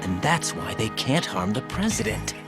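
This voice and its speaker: An actor playing an earnest young special-forces rookie in a video game, serious and inquisitive. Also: male voice, on the low side, very animated, normal speed, over loud music. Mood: puzzled, serious. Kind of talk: video game cutscene acting.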